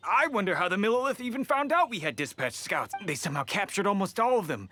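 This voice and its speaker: An actor playing a chatty, easily flustered bandit underling in a video game. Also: male voice, sits high, big pitch swings, fast, pushed and bright, a little hiss or hum, exaggerated voice. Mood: chatty, puzzled. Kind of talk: video game story dialogue.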